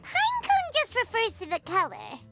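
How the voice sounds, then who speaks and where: A tiny fairy companion girl with an extremely high, squeaky voice. She is bubbly and chatty, swinging wildly up and down in animated game style, with music behind her. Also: normal speed, exaggerated voice. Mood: chatty, curious. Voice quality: squeaky, childlike. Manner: bubbly, talkative, refers to self in third person. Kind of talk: animated video game voice acting.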